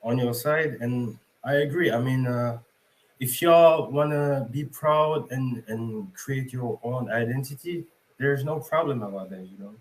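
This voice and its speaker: A man's voice: medium pitch, measured pace, poor audio. Hesitant young man calling in. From Senegal. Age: young adult. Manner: hesitant, many fillers. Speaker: caller.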